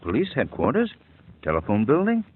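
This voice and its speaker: An actor playing a suave male crimefighter in an old radio drama, with an expressive delivery. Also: mid-range voice, fairly quick, slightly rough. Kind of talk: 1940s radio acting. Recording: tinny old radio broadcast with faint hiss.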